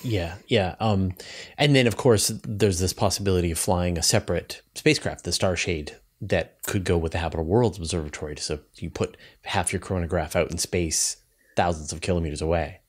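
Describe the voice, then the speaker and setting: Middle aged guy hosting a science chat, low voice, kinda thinks out loud while asking stuff. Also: a little fast, husky. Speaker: interview host. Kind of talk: conversational science interview questioning. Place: indoor room with shelves, video call.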